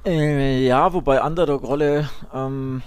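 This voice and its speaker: Co-host, man, mid-pitched, thinks aloud with ums and pauses. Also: steady tone, darkish tone, smooth. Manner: measured, reasoning aloud with fillers. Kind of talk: casual podcast chat.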